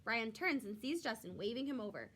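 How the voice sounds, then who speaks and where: A woman reading a children's picture book aloud like a teacher, with expressive, clearly phrased storytelling. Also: a little high, a little fast.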